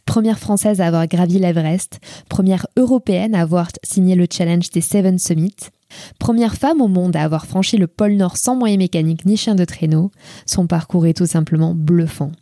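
A woman's voice: fairly low pitch, mellow, dry recording. A woman hosting an interview podcast, presenting and putting questions to her guest. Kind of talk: scripted podcast introduction and interview.

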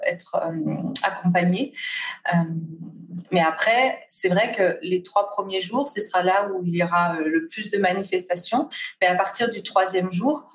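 A woman's voice: medium pitch, far from the mic. A woman talking through a hesitant flow of fillers and restarts. She is set back from the mic. Her voice rings in a roomy, echoing space. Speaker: guest, fasting retreat organizer. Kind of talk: casual conversation.

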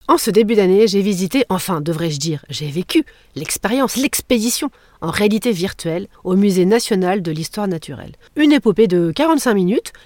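Podcast host, woman, mid-pitched, teasing scripted delivery, dry close mic. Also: no echo. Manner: playful, jokey asides.

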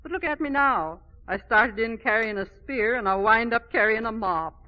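A woman acting in 1940s radio style as a tough, kindly old theatre cleaner, gruff and plain-spoken. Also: on the high side, normal speed, natural voice. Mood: wistful, wry. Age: older. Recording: muffled 1940s radio broadcast.

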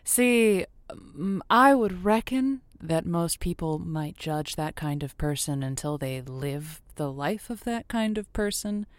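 A woman acts as the host of a romance call-in show, speaking fairly low in a very dry space. She is effusive and encouraging, leaning on her words for emphasis. It plays as loose, semi-improvised radio drama. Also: lively intonation, slow pace, hardly any pauses, no room sound.

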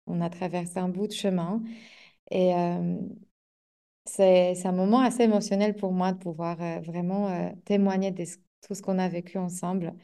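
A woman's voice: lowish voice, steady tone, normal speed, dark tone, clean tone. A woman hosting a coaching podcast, reading a scripted intro and then interviewing a guest in a hesitant way with many fillers.

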